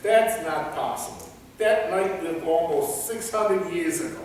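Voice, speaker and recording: A man's voice: fairly high pitch, close to an everyday voice. A man playing a curious guard who stalls his captors with hesitant questions in a comic staged reading. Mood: skeptical. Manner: halting, inquisitive, hesitant phrasing. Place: large reverberant indoor performance space. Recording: echoey hall from far off, noisy.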